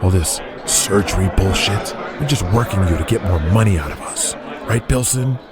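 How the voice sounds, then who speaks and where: A domineering, low-voiced wrestling boss. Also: male voice, animated, normal speed. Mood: scornful, angry. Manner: sarcastic, profane, domineering. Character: ruthless, bullying male wrestling promoter boss. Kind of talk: audio drama acting. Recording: loud music underneath.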